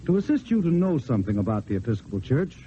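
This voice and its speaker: Male spokesman for a radio message, mellow and earnest, measured rhetoric, dull old recording. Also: mid-range voice, big pitch swings. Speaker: spokesman delivering a church message. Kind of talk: scripted inspirational radio address. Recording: dull vintage radio recording, faint hiss.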